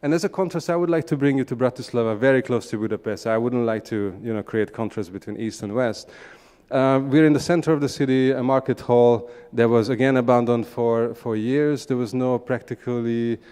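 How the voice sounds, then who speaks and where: A man's voice: medium pitch, brisk, dark tone, smooth. Hungarian-accented man speaking in an echoey hall. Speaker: conference speaker, urban regeneration practitioner. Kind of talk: conference presentation, semi-spontaneous. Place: large indoor conference hall. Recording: echoey conference hall, long reverb.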